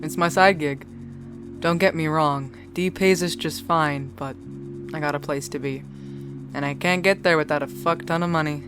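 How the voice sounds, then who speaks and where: An actress voices a world-weary young woman behind the bar in a noir audio drama. Her voice sits low, and she talks bluntly with dry sarcasm and easy profanity. Music plays clearly underneath. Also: steady tone, moderate pace, natural voice. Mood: wry, musing. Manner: wry, blunt, heavy casual swearing. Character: foul-mouthed, sardonic, world-weary young bartender. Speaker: bartender. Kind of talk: cinematic audio drama acting.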